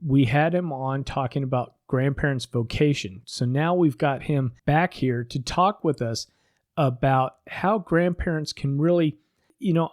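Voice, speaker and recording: An older man hosts a podcast with a slightly plugged-up, head-cold voice. His pitch sits in an ordinary middle range, and the sound is close and dry with no room around it. His delivery is upbeat and friendly. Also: a little mellow, dry recording. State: stuffy nose from a head cold. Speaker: podcast host. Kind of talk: casual podcast interview.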